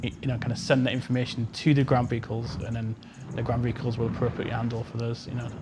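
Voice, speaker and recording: A man casually explaining technical details as a game developer, in a halting, filler-heavy way. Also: level tone. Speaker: game developer answering questions. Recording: loud vehicle rumble and game noise behind.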